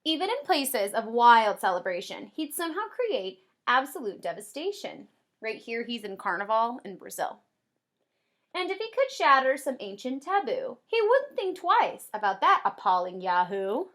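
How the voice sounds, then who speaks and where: Animated high-voiced woman teaching young children. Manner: expressive, drawn-out storybook emphasis; simple explanations addressed to young children. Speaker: kindergarten teacher. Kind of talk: animated children's read-aloud with comprehension questions.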